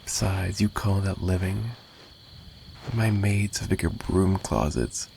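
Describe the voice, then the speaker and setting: A man playing a possessive, menacing and flirtatious mafia boss in an ASMR roleplay monologue, taunting and sarcastic with drawling pauses. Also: deep voice, vocal fry, darkish tone, slight background noise, heavily acted. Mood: smug.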